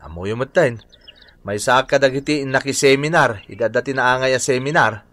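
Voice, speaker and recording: A man's voice: mid-range voice, normal speed, bright, forceful tone, lightly acted. A man with an Ilocano Filipino accent acting an eager, helpful young village volunteer in a radio drama. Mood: earnest.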